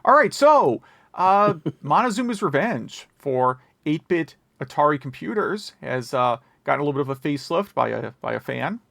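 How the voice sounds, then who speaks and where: Man, podcast host, casual news chat, rambling, gravelly fry in the voice, dry recording. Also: a little high, big pitch swings, moderate pace, a bit bright, no room sound.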